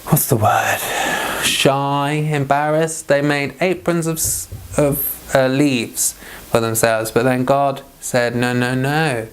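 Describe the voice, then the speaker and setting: A man's voice: medium pitch, little pitch movement, slow pace, smooth. A man leading an informal Bible read-along, reading scripture aloud and giving chatty devotional commentary.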